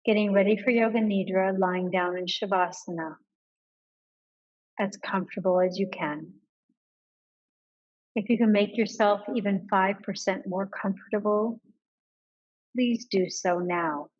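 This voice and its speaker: A woman guides a meditation with a medium-pitched voice. She gives calm, measured instructions and leaves pauses between them. The recording is a little dull and lo-fi. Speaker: yoga nidra meditation guide.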